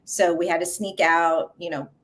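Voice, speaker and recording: Soft-spoken woman, halting and thoughtful. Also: fairly low pitch, steady tone. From Vietnam. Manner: halting, many fillers and restarts. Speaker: guest, coffee company founder. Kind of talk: casual interview conversation.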